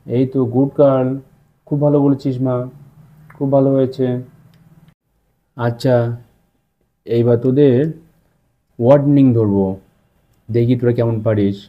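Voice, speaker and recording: A man's voice: medium pitch, steady tone, normal speed, pauses often, lightly acted. A village father, played by a man, instructs his children in a firm, parental manner for a puppet story. His voice is of middling depth, on a slightly dull, lo-fi recording. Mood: praising. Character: village father, firm but praising teacher.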